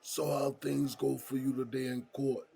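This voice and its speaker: A man playing a good-humored, folksy prison inmate in an audio drama, with a gravelly, husky voice and colloquial drawl. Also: mid-range voice, little pitch movement, normal speed, warm tone. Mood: curious, teasing. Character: folksy, good-humored male jailhouse buddy named Smokey.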